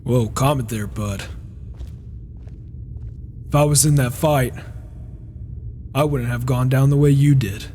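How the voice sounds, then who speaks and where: A man playing a proud, reclusive warrior in a fantasy game roleplay, gruff and stoic, with slow, deliberate delivery. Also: mid-range voice, fairly even intonation, warm tone, soft background music, lightly acted. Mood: gruff confidence. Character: brooding, proud, reclusive male warrior and lone swordsman. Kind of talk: dramatic in-character narration and roleplay.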